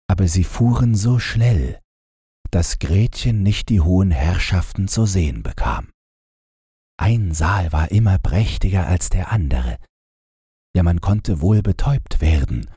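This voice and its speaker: A male audiobook narrator reads a bedtime fairy tale at a slow pace. His voice is dark and very husky, with expressive swings in pitch. It is scripted storytelling meant for falling asleep. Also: dry recording, clean studio sound.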